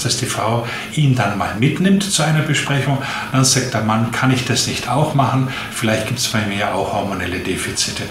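A man in his late fifties giving measured explanations as a doctor being interviewed. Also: medium pitch, fairly bright tone. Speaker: interviewed physician. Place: small indoor room, seated at a desk. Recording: echoey room with clear background noise.

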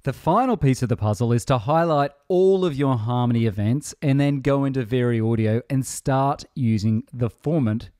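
A man giving a software tutorial voice-over in a casual, conversational way. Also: mid-range voice, normal speed, hardly any pauses, no echo. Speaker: tutorial presenter.

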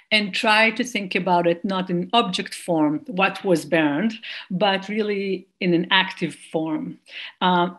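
A woman speaking English as a second language with a foreign accent, an artist talking on a video call, hesitant with many fillers and restarts. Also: on the low side. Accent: non-native English. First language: not English. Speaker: performance artist and lecturer. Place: dark room at home. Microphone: video call laptop mic.

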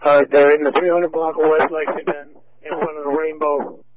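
A man's voice: on the high side, flat delivery, moderate pace, a crowd in the background. A male police officer reporting to dispatch over a two-way radio. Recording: dull lo-fi police scanner radio.

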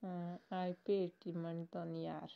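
A woman's voice: lowish voice, little pitch movement, a little slow, creaky, clean tone. A woman caller chatting casually and privately on a recorded phone call, giving brief, murmured replies.

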